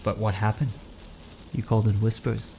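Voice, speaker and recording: Troubled everyman driver played by a male actor, slightly low male voice, wary and inquisitive, natural delivery for audio drama. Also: flat delivery, moderate pace, dark tone, slight background noise, natural voice, poor audio. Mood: curious. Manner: conversational, wondering, wary. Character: uneasy, questioning man driver, curious and troubled.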